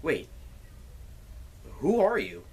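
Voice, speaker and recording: A man hosting his own comedic movie review show, retelling a plot with crude, animated energy and swearing. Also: fairly high pitch, extremely slow, husky. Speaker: film reviewer, show host.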